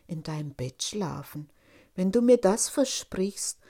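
A woman voicing a male talking frog from a storybook, deliberate and insistent as he bargains. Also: fairly low pitch, expressive, mellow. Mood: coaxing, bargaining.

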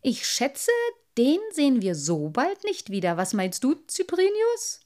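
A woman's voice: on the high side, sing-song, normal speed, voice pushed up. A woman voicing a merry, self-satisfied little water sprite boy in a storybook, bold and playful. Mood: cheerful. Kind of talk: storybook character voice.

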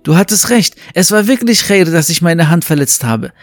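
A man voicing an impulsive, hot-tempered king in a fable, loud and exclaiming. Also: fairly high pitch, animated, moderate pace, dry recording, studio quality, soft background music, pitched above the performer's own voice, lightly acted. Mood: excited, puzzled.

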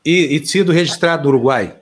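A male host chats quickly in a joking back-and-forth. His voice sits fairly high, and the talk moves fast and loose. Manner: jokey, quick banter with fillers. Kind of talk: casual talk show chat.

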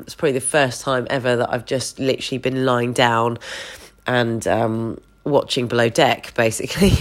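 Congested woman talking into her phone. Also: deep voice. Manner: candid, gently meandering with many fillers. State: congested, stuffy nose. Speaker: podcast host. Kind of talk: reflective solo podcast. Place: home sofa.

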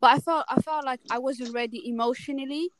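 A woman's voice: sits high, fairly even intonation, a little fast, slight background noise. A young woman in casual chat, emphatic and talkative, often checking in with questions. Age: young adult. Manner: chatty and emphatic, asks lots of questions. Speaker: student co-host.

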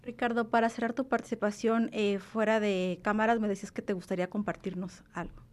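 A woman conducting an interview, with a voice of middle pitch. She asks her questions conversationally and rewords them partway through. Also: flat delivery, a little fast.

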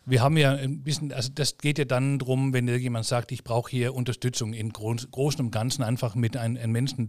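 Rambling podcast host. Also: male voice, medium pitch, a little mellow, no room sound, studio quality. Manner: rambling, many restarts and fillers. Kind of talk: casual interview chat.

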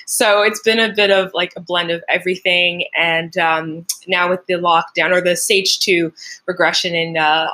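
A young woman from Canada, whose first language is French, tells her story as an interview guest. She speaks in a fairly low voice, chatty and upbeat, with frequent fillers. She talks like an athlete looking back on her career. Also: pushed and bright. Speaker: Olympic track athlete, guest.

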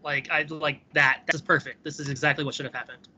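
A man with a very bright, slightly high voice talks excitedly. He acts out lines as he goes. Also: quick pace, lo-fi sound, slight background noise. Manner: excited, acting out quotes. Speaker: podcast co-host. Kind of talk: casual podcast chat.

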